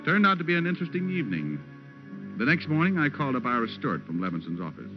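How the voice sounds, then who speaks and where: An actor playing a hard-boiled private detective with an American accent, narrating his case with wry, deadpan wisecracks in an old radio drama. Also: medium pitch, fast, lightly acted. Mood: easygoing, dry. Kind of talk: 1940s-50s radio detective drama acting. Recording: dull old radio recording with music.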